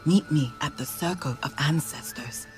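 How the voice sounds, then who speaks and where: An actress playing an earnest young clanswoman who quietly defies her leaders. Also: deep voice, normal speed, soft background music, close to an everyday voice. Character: earnest young female clan member, quietly rebellious.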